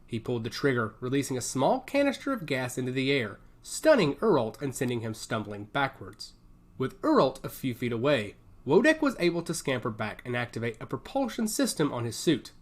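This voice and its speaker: Man, mid-pitched voice, lively intonation, scripted dramatic storytelling, audiobook narrator. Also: normal speed.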